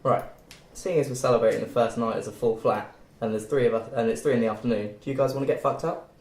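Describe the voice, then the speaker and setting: Man acting a cocky student flatmate, echoey room. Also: medium pitch, fast, lightly acted. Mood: mock-serious, upbeat. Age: young adult. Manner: mock-gallant, laddish, stumbling over lines. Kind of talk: script table read. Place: indoor room at a group read-through.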